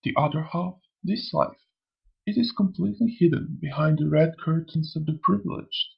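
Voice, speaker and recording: A man voicing a sly, amused old spirit in a motion comic, lecturing theatrically in a gravelly, husky voice. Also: fairly high pitch, normal speed, dull audio, exaggerated voice. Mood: preaching. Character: sly, amused old male spirit who preaches and taunts. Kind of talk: scripted motion comic dialogue.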